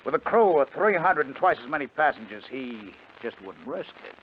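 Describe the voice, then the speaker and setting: An actor playing a coolly ruthless, persuasive gang leader in a 1950s radio crime drama, insistent, deliberate and confident. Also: male voice, medium pitch, very animated, close to an everyday voice. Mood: confident, cold. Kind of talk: 1950s radio drama acting, also narrating in first person. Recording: muffled, noisy 1950 radio recording.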